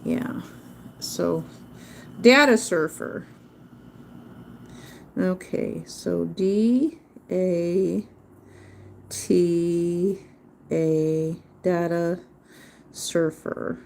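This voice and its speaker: A woman talks in a slow, unhurried way, her voice sitting a little low. She rambles from topic to topic in a chatty livestream style. Now and then she spells words out letter by letter, over a faint background hum. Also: gravelly, a little mellow. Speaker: livestream host teaching drawing. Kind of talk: rambling casual livestream chat.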